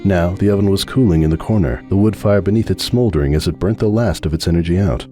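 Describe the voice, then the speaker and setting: A man giving a dramatic reading of a fan story, narrating in a measured, brooding way. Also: deep voice, warm tone, faint music behind. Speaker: audiobook narrator.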